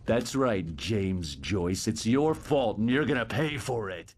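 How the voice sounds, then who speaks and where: An actor playing a taunting, hot-tempered, drunken federal agent in a thriller game. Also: male voice, lowish voice, animated, slow pace. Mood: menacing. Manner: taunting, mocking. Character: sneering, volatile drunken male federal agent. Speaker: FBI agent antagonist. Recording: clear music behind voice.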